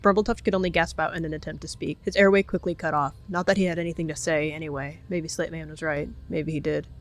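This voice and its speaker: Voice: medium pitch, steady tone, fast, soft background music. A storyteller giving dramatic third-person narration of characters in a roleplayed animal story.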